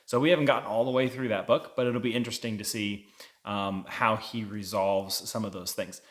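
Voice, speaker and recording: A man's voice: lowish voice, steady tone, brisk. A man giving a casual, conversational book review, explaining things as a vlog co-host.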